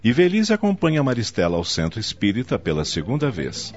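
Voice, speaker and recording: A man's voice: mid-range voice, huge pitch swings, a little fast. A man narrating a radio soap opera in a dramatic scripted style. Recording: dull lo-fi audio with music.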